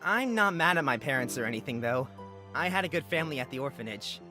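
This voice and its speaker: An earnest young male traveller, acted by a woman from a fantasy visual novel. His voice sits low for the performer and is strikingly bright, with a plainspoken, easygoing manner. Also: expressive, quick pace, faint music behind, full character voice. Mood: reassuring, matter-of-fact.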